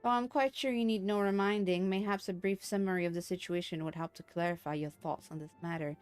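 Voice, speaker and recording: A woman's voice: lowish voice, fairly even intonation, smooth, natural voice. A woman voicing a gracious, formal female leader from a fantasy game, reading in stately phrases. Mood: formal, explaining. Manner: formal, measured, stately wording. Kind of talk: video game dialogue read aloud. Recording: game music behind the voice.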